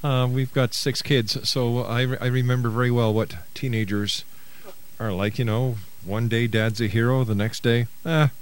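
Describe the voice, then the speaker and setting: A man's voice: mid-range voice, fairly even intonation, moderate pace, clean tone, low-quality recording. A middle-aged man hosting a radio interview, introducing and questioning his guest in an avuncular way. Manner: avuncular, uses pet names for the guest. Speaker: radio talk show host and interviewer.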